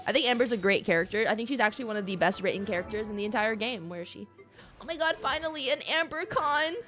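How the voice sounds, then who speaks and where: A woman livestreamer chatting casually over a game, playful, expressive and rambling to the viewers. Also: a little high, quick pace. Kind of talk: casual game stream commentary. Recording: game music behind the voice.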